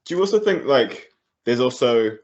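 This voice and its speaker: A man's voice: mid-range voice, very animated, normal speed, highs cut off. A man with a Southland New Zealand accent asking a long, rambling interview question full of fillers. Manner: rambling, filler-heavy, many likes.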